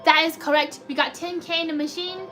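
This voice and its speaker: A woman with a robotic-sounding voice chatting excitedly through a live slot machine stream, reading and answering chat comments. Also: very high voice, fairly quick, faint music behind. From United States. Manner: chatty, excitable cheering at spins.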